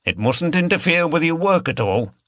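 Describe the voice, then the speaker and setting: A man's voice: fairly high pitch, very animated, normal speed, a bit bright, dry recording, clean studio sound, voice pushed up. A man voicing an easygoing, plain-spoken innkeeper who banters with staff and regulars in an audiobook. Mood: bantering. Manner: bantering, matter-of-fact.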